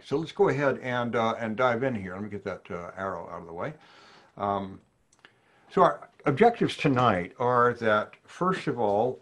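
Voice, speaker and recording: Older man lecturing thoughtfully, lowish voice. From New Orleans, USA. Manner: measured, thoughtful, poses questions to the audience. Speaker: psychiatrist presenting a webinar. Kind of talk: lecture to physicians over slides. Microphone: video call webcam.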